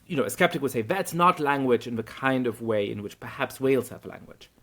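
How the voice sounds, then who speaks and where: A man's voice: mid-range voice, lively intonation, a little fast, a bit bright. A middle-aged man hosting an interview podcast, thinking aloud with stumbling repetitions. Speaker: podcast host. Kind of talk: conversational interview.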